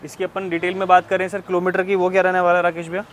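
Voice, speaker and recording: A man's voice: high-pitched, completely flat delivery, very fast. A man hosting a casual walkthrough, deferentially putting questions to a seller and repeating details back for viewers. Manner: repeats answers back, deferential, addresses listeners. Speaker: vlog host interviewing a car dealer. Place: open-air used car lot. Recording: outdoor lot with clear ambient noise. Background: outdoor ambient noise.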